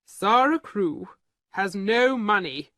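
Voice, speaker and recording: A woman's voice: medium pitch, dry recording. A woman reading as a blunt male lawyer. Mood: grave. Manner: flat, matter-of-fact and measured.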